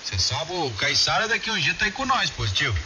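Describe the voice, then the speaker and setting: Lively Brazilian man over hissy radio. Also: a little high, a little fast, far from the mic. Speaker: amateur radio operator. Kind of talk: casual radio chat. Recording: dull radio audio with clear hiss.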